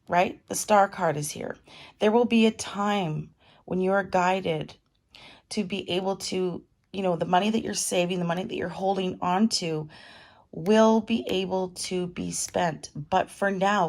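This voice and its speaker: A middle-aged woman with a cold and a raspy voice, chatting casually as she gives a tarot reading at home. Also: medium pitch. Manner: chatty, warm advice with fillers. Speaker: tarot reader.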